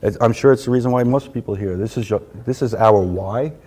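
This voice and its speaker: Middle-aged New York man, warm low voice, chatty guest, lapel mic. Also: brisk. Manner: chatty, laughs easily, many fillers. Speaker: patient advocate guest. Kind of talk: casual interview. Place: conference room, indoors.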